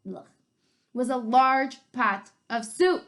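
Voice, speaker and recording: A woman co-reading a children's story, narrating in an expressive, measured way. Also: high voice. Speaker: co-reader of the story.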